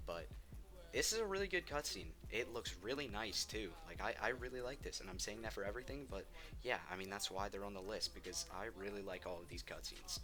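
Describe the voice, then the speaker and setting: A man's voice: lowish voice, lively intonation, brisk, over music. A man giving casual, opinionated countdown commentary on video games, enthusiastic and emphatic, repeating words for stress. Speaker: video game ranking host.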